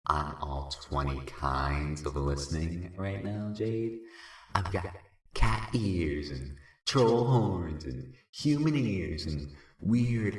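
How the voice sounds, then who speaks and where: A performer plays a very deep-voiced, unhurried cat-bird-troll sprite in a fan audio drama. The creature is cocky and playful, drawling its slang coolly. The recording is noticeably roomy and echoing.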